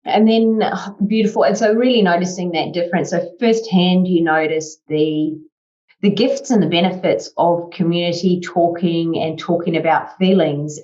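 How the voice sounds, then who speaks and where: Woman hosting a podcast, lower voice. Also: dull audio. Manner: upbeat, warm interviewer who summarises answers. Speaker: podcast host.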